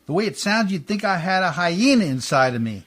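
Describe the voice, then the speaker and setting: A man acts out an uncouth but good-natured riverboat pilot in an old-style radio play. His voice sits very high for a man, and he talks in a folksy, ungrammatical, chuckling way. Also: very animated, brisk, fairly bright tone, heavily acted. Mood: sheepish amusement.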